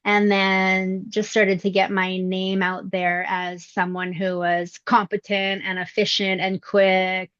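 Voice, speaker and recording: A middle-aged woman with a young-sounding voice, talking about her acting career in a relaxed, reflective interview with frequent fillers. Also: medium pitch, slow pace, hardly any pauses, smooth, no room sound, highs cut off. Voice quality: youthful-sounding voice. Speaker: voice actress, interview guest. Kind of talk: casual interview answers. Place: indoors at her mother's home.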